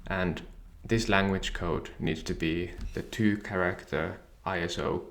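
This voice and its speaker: Low gravelly man explaining software. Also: measured pace. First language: Finnish. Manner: calm, methodical explaining. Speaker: software tutorial presenter. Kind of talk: screen-share software tutorial.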